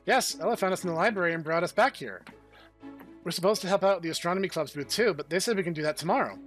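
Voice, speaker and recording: Earnest, bookish schoolgirl, high and polite, voiced by a man. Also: quick pace, a bit bright, faint music behind. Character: studious, wide-eyed young female student.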